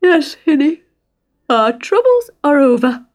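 A woman's voice: sits high, sing-song, takes it slowly, darkish tone, voice pushed up, heavily acted. A woman voicing a cheerful, boastful working-class father. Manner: hearty, pleased with himself.

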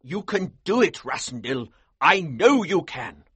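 Husky, slow, commanding colonel. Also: male voice, a little high, big pitch swings, a bit bright, no room sound, pitched above the performer's own voice, exaggerated voice. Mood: persuading. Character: forceful, scheming old military colonel, urgent and commanding. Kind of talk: audiobook character acting.

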